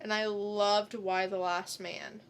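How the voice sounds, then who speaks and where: A woman co-hosting a podcast in casual chat, thinking out loud in a halting way. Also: mid-range voice, level tone, slight background noise. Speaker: podcast co-host. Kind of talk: casual comic book chat.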